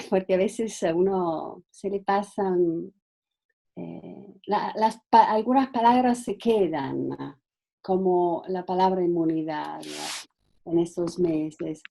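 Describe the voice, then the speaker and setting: A woman whose first language is Italian, giving a halting online talk with many fillers, restarts and searches for words, heard over a video call. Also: on the low side, creaky, warm tone. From Italy. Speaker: guest speaker.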